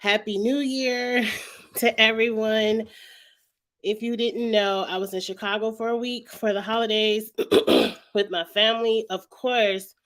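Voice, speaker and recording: A young woman hosting a casual reality TV recap, her voice a little raspy from a cold, chatting loosely and often clearing her throat. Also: fairly high pitch, fairly even intonation, measured pace, fairly bright tone. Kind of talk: casual vlog chat.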